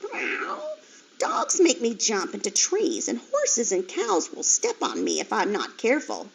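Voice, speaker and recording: A woman's voice: on the high side, huge pitch swings, measured pace, gravelly, breathy edge, heavily acted. A woman voicing a chatty, worldly female farm animal in a storybook reading. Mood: knowing. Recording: dull lo-fi recording.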